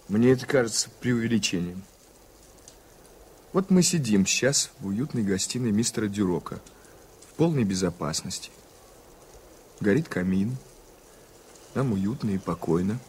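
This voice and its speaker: An actor playing an earnest, polite, doubting American journalist in a radio play, with formal, hesitant speech. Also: male voice, lowish voice, expressive, unhurried, long pauses, darkish tone, husky, full character voice. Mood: doubting, reflective. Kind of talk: 1980s radio play acting.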